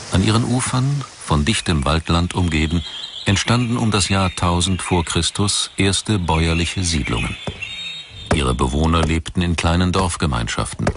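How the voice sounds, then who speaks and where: Deep-voiced male documentary narrator, expressive intonation. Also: background noise. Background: background music.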